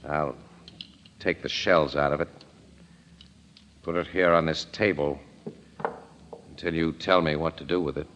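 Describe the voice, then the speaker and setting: An American man playing a shrewd, steady crime photographer who is loyal to his friends, in old-time radio drama style. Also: extremely low pitch, unhurried, pauses often, muffled recording, a little hiss or hum. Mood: frank, urging.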